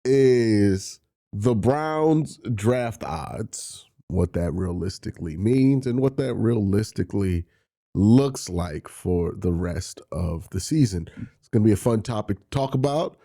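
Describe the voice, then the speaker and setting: A man with a head cold hosts a solo sports talk show, his voice nasal and a little breathy. He speaks in a low, mellow, darker tone. He explains draft rules step by step. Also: expressive, slow. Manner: explanatory, walks through tiebreaker rules step by step. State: congested from a cold. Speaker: sports channel host.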